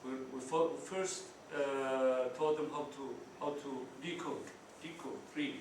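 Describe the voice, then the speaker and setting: A middle-aged man, an ESL teacher giving a workshop talk, halting with many restarts and fillers. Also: mid-range voice, steady tone. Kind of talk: workshop presentation. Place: conference room. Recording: echoey hall, distant mic, noisy.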